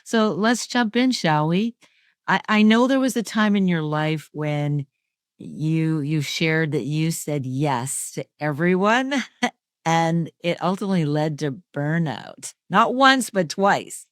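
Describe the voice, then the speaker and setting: A woman's voice: low-pitched, expressive, slow, no echo, studio quality. A woman hosting a podcast who introduces her guest and asks questions in an upbeat, friendly way. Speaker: podcast host. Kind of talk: conversational podcast interview.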